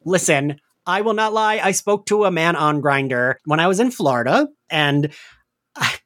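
Casting: male podcast host, forties, chatty and self-deprecating, lively intonation, dry close sound. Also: fairly high pitch, moderate pace, no room sound. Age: 40s. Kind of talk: casual podcast chat.

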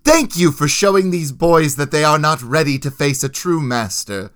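A man's voice: on the high side, animated, normal speed, a bit bright, pitched above the performer's own voice. A man voicing a jovial, wry knight and master at arms with formal, archaic phrasing in a fantasy audiobook, over music. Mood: pleased.

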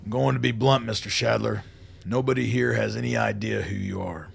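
Very gravelly, weary army colonel. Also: male voice, fairly low pitch, animated, a little fast, full character voice. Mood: blunt, guarded. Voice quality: very gravelly, husky. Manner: blunt, clipped, commanding. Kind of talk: radio play acting.

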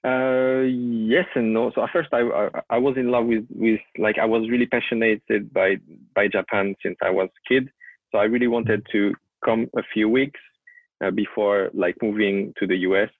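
A man's voice: lowish voice, steady tone, moderate pace, no echo. French-accented man on a tinny call line. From France. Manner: hesitant, repeats words while searching for English. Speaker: guest photographer. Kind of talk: casual interview. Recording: tinny call audio, dry.

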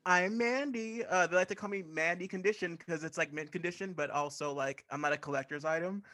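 An attention-hungry middle daughter as acted by a man in improv comedy. The voice is bright and fairly high, rambling eagerly with stretched words. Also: a little fast. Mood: eager, needy.

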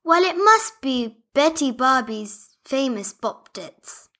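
Woman doing a sweet high-pitched toy doll lady. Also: animated, slow pace, bright, forceful tone, full character voice. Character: sweet female toy doll searching for her dog.

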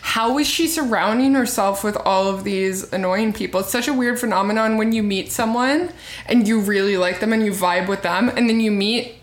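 A young woman co-hosting a podcast, chatting casually and filling her talk with little fillers. Her voice sits low with a steady vocal fry. The sound is roomy, with a clear echo. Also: normal speed. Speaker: podcast co-host. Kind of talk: casual chat.

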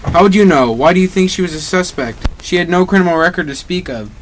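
A man's voice: sits high, animated, fast talker, a little hiss or hum. A man playing an earnest, sensible, matter-of-fact police officer in an amateur old-time radio drama. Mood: suspicious.

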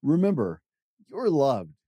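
A man hosting a podcast, gently reading a children's picture book aloud to young listeners. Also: medium pitch, takes it slowly, husky, dry recording, muffled recording. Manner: animated, gentle storytelling.

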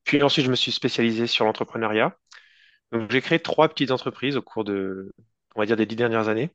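A man's voice: mid-range voice, fairly quick, fairly bright tone, no echo, lo-fi sound. A man in his thirties from France with a French accent, answering interview questions in a casual, hesitant way with many fillers and restarts. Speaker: guest entrepreneur.

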